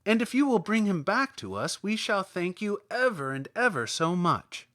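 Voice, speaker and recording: A man's voice: sits high, big pitch swings, normal speed, fairly bright tone, no room sound, clean studio sound, voice pushed up. A man voicing an earnest, eager young farm girl in a storybook, speaking politely.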